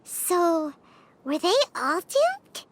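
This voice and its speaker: A woman voicing a tiny childlike fairy companion in a video game, squeaky and earnest. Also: wildly swooping pitch, drawn-out words, a little hiss or hum, heavily acted. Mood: puzzled.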